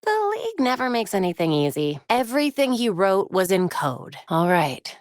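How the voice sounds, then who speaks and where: A woman's voice: medium pitch, sing-song, moderate pace, no room sound, close to an everyday voice. Woman playing a wry young American superhero, close and dry. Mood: analytical. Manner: wry, confident, conversational. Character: young female superhero detective, determined, wry and quick-witted. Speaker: video game character. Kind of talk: acted video game dialogue.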